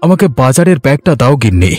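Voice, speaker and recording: A man playing a poor, gentle village farmer and father in a children's cartoon, with a homely, affectionate manner. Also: mid-range voice, sing-song, fast talker, soft background music, natural voice. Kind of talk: Bengali children's cartoon voice acting.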